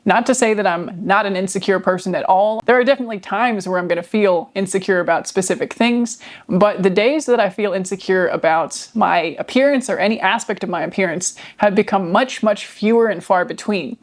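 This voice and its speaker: A woman with a deep voice telling a reflective personal story to camera, seated at home by a nearby microphone, laughing softly between phrases. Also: brisk. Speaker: vlogger. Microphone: microphone near her.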